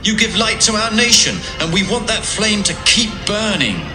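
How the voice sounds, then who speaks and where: A man's voice: high-pitched, very animated, normal speed. An actor playing a loyal, anxious soldier pleading with his king, earnest and urgent, in a dramatised audio Bible. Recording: roomy sound with music underneath.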